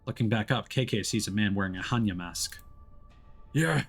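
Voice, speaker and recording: A man's voice: fairly low pitch, normal speed, slightly rough, soft background music. A man streaming a video game, moving between casual commentary and reading story narration aloud.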